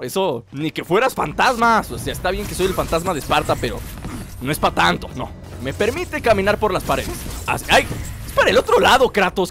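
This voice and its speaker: Young Mexican gamer, stuffy from a cold, high and animated, jokey let's-play host. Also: male voice. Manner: playful, jokey, bursts into excited shouts. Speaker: gameplay commentator. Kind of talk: casual let's-play commentary. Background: game music.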